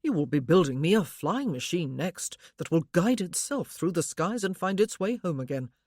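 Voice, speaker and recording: A woman voicing a protective blind gentleman who questions in a steady, dry manner. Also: on the low side, normal speed, dry recording. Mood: probing.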